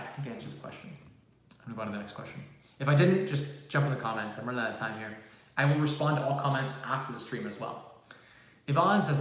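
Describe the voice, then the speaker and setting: Young man, echoey empty room, far from mic. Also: medium pitch. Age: 20s. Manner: quick, talkative, hurried answers with fillers. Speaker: live stream host answering viewer questions. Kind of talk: casual live-stream Q&A. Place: large empty room, new home office. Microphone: phone mic about six feet away.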